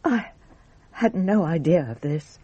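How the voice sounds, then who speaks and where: A composed, guarded married lady in a radio drama. Her voice is soft-edged and husky, measured and formal, recorded very dry. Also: lowish voice, animated, dry recording, lo-fi sound, exaggerated voice. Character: composed French wife recounting her ordeal, guarded.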